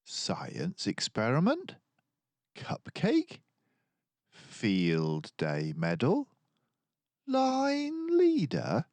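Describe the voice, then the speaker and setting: A young storybook bear cub, acted out by a man, wide-eyed and exclamatory. The pitch jumps high and swings wildly. It is an excitable little boy's voice, recorded dry. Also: very slow, pauses often, no room sound, heavily acted. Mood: amazed.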